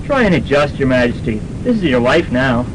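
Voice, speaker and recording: A man's voice: big pitch swings, fairly quick, close to an everyday voice. A young man playing a dry, smug American businessman in a campus radio comedy. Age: young adult. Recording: noisy rumbling student radio recording.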